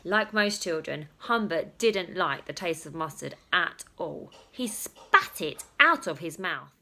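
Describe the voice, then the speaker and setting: Casting: female narrator for children's books, unhurried and highly expressive, stretching key words for drama. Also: noisy background. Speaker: storyteller reading aloud to children. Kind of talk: animated children's story narration.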